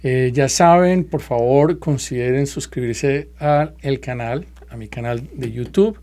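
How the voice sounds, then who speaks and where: A man hosting his own podcast, welcoming a guest and asking questions in a relaxed, repetitive way. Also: mid-range voice, a little slow. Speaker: podcast host.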